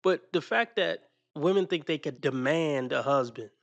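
A man's voice: fairly high pitch, moderate pace, gravelly, no room sound, dull audio. Blunt dismissive male commentator, dry sound. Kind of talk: reaction commentary.

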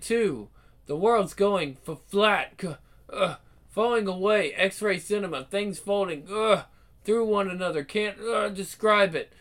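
A stammering, delirious prisoner. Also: male voice, sits high, a bit bright. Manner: stammering, broken, fearful. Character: delirious male prisoner under torture, rambling.

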